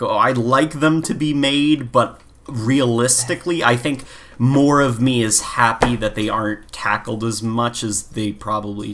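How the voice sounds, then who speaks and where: A man's voice: on the low side, pushed and bright, a little hiss or hum. A man co-hosting a casual chat podcast, talking in a rambling way and peppering his speech with "like". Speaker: podcast co-host. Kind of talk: casual chat about games and films.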